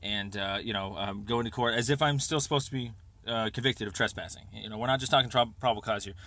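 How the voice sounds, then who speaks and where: A man leaving an informal, rambling voicemail over the phone, speaking haltingly as he pieces his thoughts together. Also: lowish voice, sharp tone, no room sound. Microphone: telephone.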